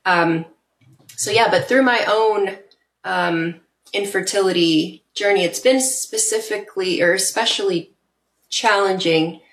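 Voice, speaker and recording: A woman's voice: mid-range voice, a little slow, reverberant, poor audio. A woman guest in a podcast interview, speaking earnestly and reflectively with occasional fillers. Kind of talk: casual interview chat.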